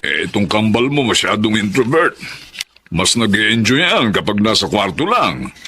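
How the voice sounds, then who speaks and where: An admonishing middle-aged father in a Filipino radio drama. His lowish voice is sharp and emphatic, with faint noise behind it. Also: very animated, moderate pace, full character voice. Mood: fatherly concern. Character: concerned, gently teasing father of adult twins.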